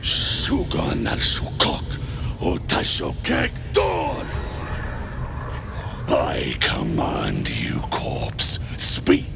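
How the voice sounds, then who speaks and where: An actor playing a huge, impatient hobgoblin priest in a fantasy game, gruff and gravelly, intoning commands. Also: male voice, very deep voice, huge pitch swings, very slow, shouting, over loud music, heavily acted. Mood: commanding incantation. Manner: thunderous incantations, gruff.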